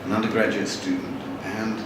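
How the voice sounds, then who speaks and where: A man, a novelist giving a live talk to an audience in a wry, chatty, halting way, with frequent restarts. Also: fairly low pitch, little pitch movement. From born in Bangladesh, raised in London. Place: large hall with audience. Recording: echoey hall from far off, noisy.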